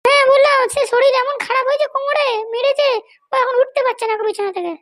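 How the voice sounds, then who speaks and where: A man's voice: very high-pitched, fast, a bit bright, no room sound, studio quality, pitched above the performer's own voice. A man voicing a bossy, scheming woman politician in an amateur comedy cartoon. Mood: matter-of-fact. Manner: boastful, commanding.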